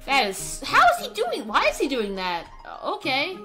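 A woman's voice: high voice, huge pitch swings, normal speed, music in the background. A woman doing casual, playful roleplay commentary over a video game, rambling and stammering as she goes. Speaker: gaming YouTuber.

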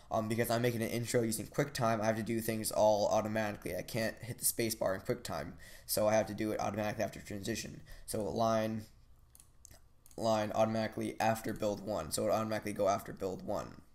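A young man walks through a software tutorial in a low, fairly monotone voice. He talks casually and hesitantly, filling gaps with ums and restarting sentences. It sounds like a desk webcam in a small room. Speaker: tech channel host. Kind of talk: screen-recorded software tutorial.

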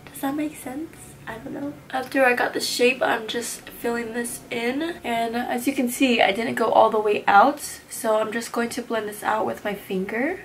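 A woman's voice: fairly high pitch, steady tone, moderate pace, few pauses, a little hiss or hum. A young woman in her twenties with a stuffy nose from a cold, chatting casually and slangily while walking through a makeup tutorial in a small room. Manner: chatty, slangy and self-teasing. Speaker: beauty vlogger.

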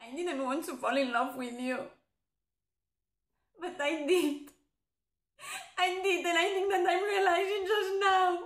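A woman's voice: high voice, fairly quick, few pauses, a bit bright. An actress playing a heartbroken young woman being dumped who feigns indifference, in a theatrical monologue. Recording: echoey, roomy sound.

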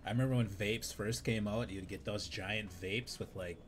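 A man in his thirties with a hoarse, raspy voice, chatting in a laid-back, unhurried way while streaming a game. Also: lowish voice, steady tone, a little hiss or hum. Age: 30s. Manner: laid-back, unhurried, drifting between game remarks and stories. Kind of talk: casual live-stream chat while gaming.

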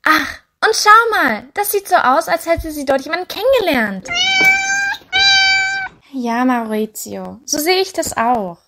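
A woman's voice: sits high, expressive, measured pace, bright, forceful tone, full character voice. A woman playing a cheerful, curious little witch girl in an audio drama, childlike and chatty.

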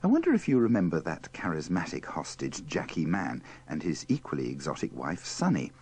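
A man's voice: extremely low pitch, wildly swooping pitch, a little fast, darkish tone, slightly rough. A man presenting scripted links about radio bloopers in a wry, wordy, tongue-in-cheek manner.

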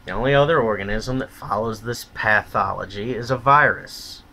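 Low-voiced man ranting, sharp edge. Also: normal speed, slight background noise, low-quality recording. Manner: blunt, profane, contemptuous. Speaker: vlogger. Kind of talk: opinionated rant monologue.

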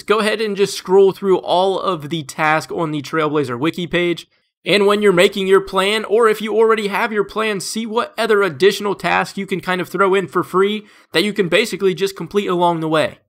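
A man with a stuffed-up nose narrates a game tips video from home. His voice sits high and sounds bright and forceful, with an upbeat, steady delivery from one tip to the next. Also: fairly quick. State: stuffed up, congested. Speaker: gaming video creator. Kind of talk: scripted game tips voice-over.

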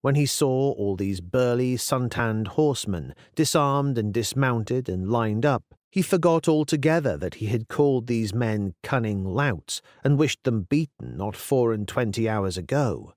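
A man's voice: mid-range voice, lively intonation, measured pace, hardly any pauses, darkish tone, no echo, studio quality. A man with an English accent reading literary fiction in a scripted audiobook style. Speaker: audiobook narrator.

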